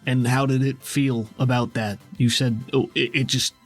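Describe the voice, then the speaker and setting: A man's voice: mid-range voice, little pitch movement, natural voice. Man playing a casual, cautious guide over music. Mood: thoughtful, probing. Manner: casual, halting, reassuring. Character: helpful, cautious male guide with circuitry, inside a brainscape. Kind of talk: improvised in-character game dialogue.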